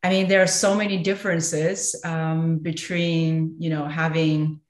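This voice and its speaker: A woman from China, in her thirties, answering podcast questions hesitantly with many pauses and light laughs. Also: sits low, little pitch movement, echoey room. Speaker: guest entrepreneur.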